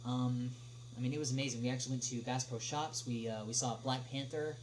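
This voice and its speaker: A man hosts a casual livestream, answering questions in a mid-range voice. His delivery is hesitant and stop-start, with long pauses between phrases. A noticeable humming noise runs through the recording. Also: medium pitch, steady tone. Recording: noisy livestream audio with clear hum.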